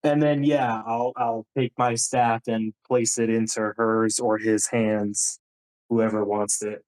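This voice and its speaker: A man's voice: on the low side, little pitch movement, takes it slowly, a little mellow, low-quality recording. A man playing a tabletop roleplaying game, casually describing what his character does.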